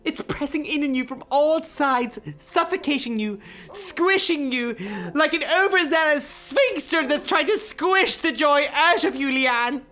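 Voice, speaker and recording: An actress playing an overzealous new-age rebirthing guru in a darkly comic radio drama, theatrical and preachy. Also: female voice, high-pitched, measured pace, pushed and bright. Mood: hypnotic, intense.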